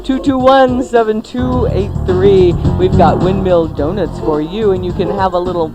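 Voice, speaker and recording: A woman co-hosting a radio show, chatting casually and making on-air announcements in a playful, drawn-out way. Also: mid-range voice. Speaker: radio co-host. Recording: music behind the voice. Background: music.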